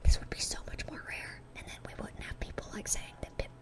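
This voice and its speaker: A woman's voice: fairly quick, close to an everyday voice. Woman playing a whispering, dorky demon girl. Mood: earnest rambling. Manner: rambling, repeats words, easily sidetracked. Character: dorky, eager, sweet-natured young female demon. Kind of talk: ASMR roleplay, whispered.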